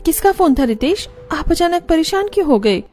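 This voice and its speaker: A woman's voice: very high voice, big pitch swings, moderate pace, darkish tone. A woman playing a pleading, childlike young wife in a scripted cartoon story, with a whiny manner. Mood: worried, annoyed. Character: plaintive young overweight wife, childish and food-loving. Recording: music under the voice.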